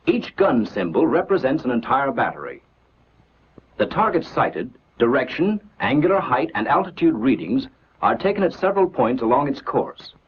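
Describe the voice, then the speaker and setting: A dull, slightly noisy 1940s film soundtrack carries a male narrator. His mid-pitched voice delivers scripted military instruction in a measured, declarative way. Each statement is laid out plainly, as in a training film. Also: big pitch swings.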